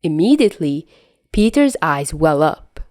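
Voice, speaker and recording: Mellow, slow-paced woman narrating a story. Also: deep voice, sing-song, no room sound, clean studio sound. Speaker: podfic narrator.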